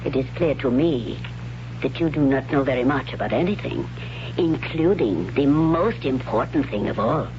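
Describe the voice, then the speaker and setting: An imperious high priestess with a deep voice, stately and full of cutting contempt. She is heard in a 1970s radio drama, dull in tone with clear background noise. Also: animated, moderate pace. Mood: contemptuous. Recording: noisy, dull 1970s radio broadcast with hum.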